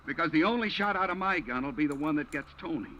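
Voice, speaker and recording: A man's voice: on the high side, expressive, quick pace, pushed and bright, lo-fi sound. An actor playing a desperate, menacing killer with tough-guy slang in an old-time radio crime drama. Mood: scheming. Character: desperate cornered male killer, menacing, unhinged schemer. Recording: faintly noisy vintage radio recording.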